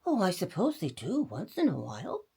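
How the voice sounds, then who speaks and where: A woman voicing a calm, encouraging mother in a storybook, gently persuasive. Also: lowish voice, moderate pace, creaky, slightly rough, exaggerated voice.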